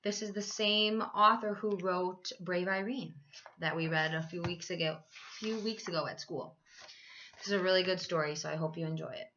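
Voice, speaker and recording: A woman teacher reading a children's storybook aloud with expressive, emphatic phrasing. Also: fairly low pitch, highs cut off, slight background noise.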